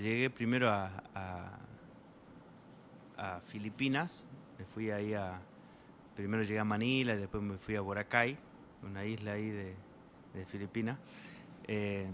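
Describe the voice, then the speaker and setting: A young man from Argentina with an Argentine Spanish accent, an interview guest telling travel stories in a relaxed, rambling way full of fillers. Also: on the low side, a little hiss or hum. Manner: rambling, many fillers, voices quoted people. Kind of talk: casual storytelling in an interview.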